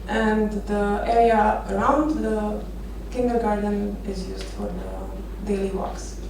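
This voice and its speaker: Female presenter from Romania, 30s, relaxed storytelling with hesitant phrasing; captured distantly in a reverberant venue with clear background noise. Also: mid-range voice, slow pace. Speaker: architecture photographer giving a conference talk. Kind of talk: informal presentation with personal stories. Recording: echoey noisy venue, distant microphone.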